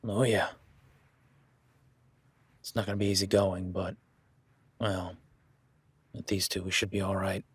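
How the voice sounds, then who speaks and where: A man's voice: low voice, level tone, a little slow, pauses often, breathy edge, no room sound. A man voicing an easygoing male cowboy ranch hand in an audio roleplay, talking in a laid-back, folksy way with casual swearing.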